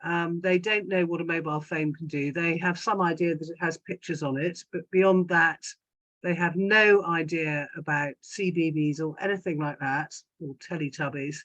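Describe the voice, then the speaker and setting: An older woman speaking as a panellist, in deliberate, emphatic and measured phrases. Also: deep voice, fairly even intonation, fairly bright tone, muffled recording. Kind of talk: thoughtful panel discussion.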